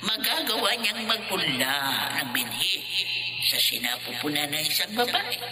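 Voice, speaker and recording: An actress playing an ominous village folk healer in a horror radio drama flashback, dramatic and foreboding, through echoing reverb. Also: female voice, low voice, very animated, normal speed. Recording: echoing reverb over loud music.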